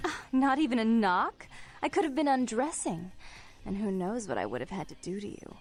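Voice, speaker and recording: High-voiced, brazen vampire woman. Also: big pitch swings, normal speed, soft background music. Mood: teasing, mocking.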